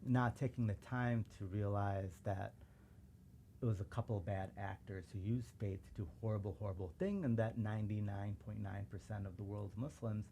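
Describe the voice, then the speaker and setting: A man from suburban Chicago with a mellow, relaxed tone, whose first language was Urdu. He talks as a podcast guest, earnest and reflective, with a faint hiss of background noise. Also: fairly low pitch, normal speed, gravelly. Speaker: podcast guest, civil rights lawyer. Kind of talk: casual interview chat.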